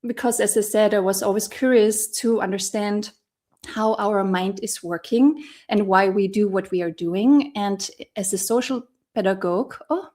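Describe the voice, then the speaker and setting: A woman from Austria working as a therapeutic coach, speaking over a video call in a thoughtful, hesitant way with many pauses and fillers. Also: mid-range voice, darkish tone. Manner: thoughtful, hesitant, many ums, laughs often. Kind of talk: casual interview talk.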